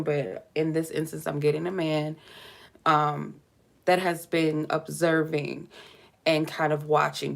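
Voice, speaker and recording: A woman's voice: deep voice, vocal fry. A woman doing a casual, meandering tarot reading, chatty and lightly joking, sounding stuffy from a cold. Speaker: tarot reader. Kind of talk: casual spiritual reading to camera.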